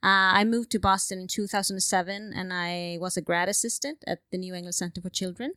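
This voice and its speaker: A woman's voice: lowish voice, steady tone, fairly quick, bright, forceful tone, clear, resonant tone, no echo. Icelandic lady guest, jokey, laughs a lot, dry close mic. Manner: joking, laughs often. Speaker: guest researcher. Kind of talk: casual interview. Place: remote studio.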